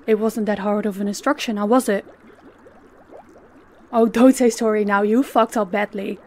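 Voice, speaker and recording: A woman playing a stern, menacing female boss in an audio drama, speaking in a commanding, deliberate way. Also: medium pitch, fairly even intonation, darkish tone, slight background noise, close to an everyday voice. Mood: cold, threatening. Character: stern, menacing female crime boss posing as business owner.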